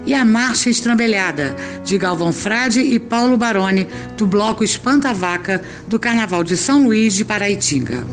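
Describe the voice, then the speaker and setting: A woman's voice: mid-range voice, animated, normal speed, hardly any pauses, over music. A woman with a Brazilian accent reading scripted narration as the narrator of an audio drama.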